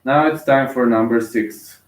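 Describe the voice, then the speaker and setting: A man's voice: medium pitch, unhurried, recorded from a distance. Bulgarian man, casual fight commentary, loose and rambling, roomy echo. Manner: rambling, thinks aloud with many fillers and restarts.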